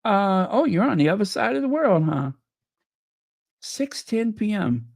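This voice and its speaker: A man's voice: high voice, sing-song, measured pace, creaky, a little mellow, no echo, clean studio sound. A man hosting a casual livestream chat, losing his voice and making sarcastic, mocking asides. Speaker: livestream host.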